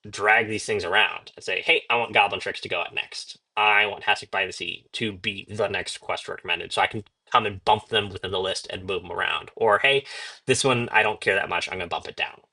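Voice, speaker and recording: A man with a fairly low voice and a bright, forward edge. He talks conversationally, explaining each step as in an informal screen-share demo. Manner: conversational, explanatory, acts out short example requests. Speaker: software demonstrator.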